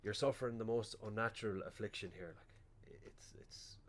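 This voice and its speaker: An awkward young Irish funeral director in a radio play, played by a man. His voice sits low, and he speaks haltingly and apologetically as he offers comfort, stumbling over his words in a natural, unshowy way. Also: steady tone, in a whisper, natural voice. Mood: awkward sympathy.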